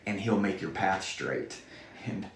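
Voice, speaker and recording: A man's voice: deep voice, animated, a little slow, echoey room, crowd noise. A man with a Southern accent, a pastor speaking earnestly in a podcast interview and quoting scripture. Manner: earnest, preacherly, quotes scripture. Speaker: student pastor, guest.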